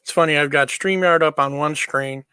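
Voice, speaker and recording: Casting: easygoing male streamer, lighter-pitched voice, casual chat with viewers, very dry room sound. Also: fairly even intonation, normal speed, sharp tone, clear, resonant tone, no echo. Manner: easygoing, informal. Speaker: livestream host.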